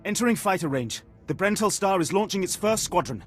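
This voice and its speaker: An actor playing an anxious, dutiful young bridge officer in a video game, reporting in clipped military phrases. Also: male voice, high voice, animated, a little fast, faint music behind. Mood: alert.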